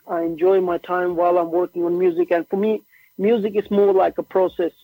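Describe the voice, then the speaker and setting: A young man from Norway whose first language is Norwegian, chatting casually as a musician guest on a remote call, relaxed and slangy. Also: high voice, a little fast, dry recording. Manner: relaxed, slangy, says "bro" and "like" often. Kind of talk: casual interview chat. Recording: tinny phone-like remote line.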